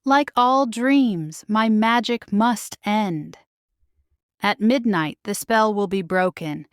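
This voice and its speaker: A woman's voice: mid-range voice, slow, clean tone, no room sound, studio quality, close to an everyday voice. A woman voicing a kindly, urgent fairy godmother from a storybook, with a motherly, exclamatory manner. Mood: gently warning.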